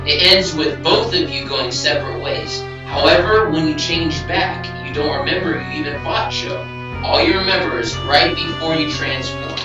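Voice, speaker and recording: A man's voice: a little high, moderate pace, lo-fi sound, close to an everyday voice. A man playing a brave, earnest young ninja hero with dramatic delivery in an amateur audio drama. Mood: explaining. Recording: echoey, distant hobby recording over music.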